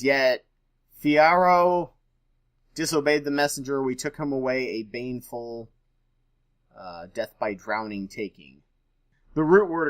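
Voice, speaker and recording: Guy with a medium pitched voice reading stuff out loud, kinda skeptical casual commentary. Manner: skeptical, irreverent asides. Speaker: host reading and commenting on scripture.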